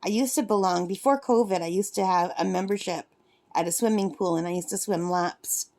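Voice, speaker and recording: A woman's voice: medium pitch, a little fast, darkish tone. Chatty woman in her fifties. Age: 50s. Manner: rambling, self-correcting, chatty. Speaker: craft vlogger. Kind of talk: casual chat while crafting. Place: cabin at a lake.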